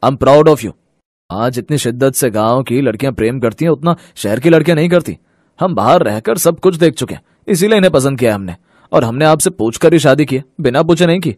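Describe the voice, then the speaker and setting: A man's voice: mid-range voice, animated, brisk, darkish tone, no echo, studio quality, natural voice. An actor playing an indignant, fair-minded family man in a Hindi moral story, earnest and emphatic. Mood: proud, earnest. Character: indignant, fair-minded father and his grown sons.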